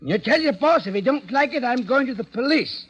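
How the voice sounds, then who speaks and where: Old shopkeeper, high-pitched, husky, indignant, stubborn, working-class talk, vintage radio drama. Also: male voice, lively intonation, a little fast, fairly bright tone, highs cut off, heavily acted. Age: older. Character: weary, stubborn old candy store owner, defiant but frightened. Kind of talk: 1940s-50s radio acting.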